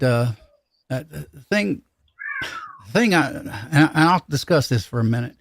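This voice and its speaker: A man hosting a casual livestream chat, talking in a rambling, halting, folksy way. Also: medium pitch, dry recording, studio quality. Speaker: livestream host.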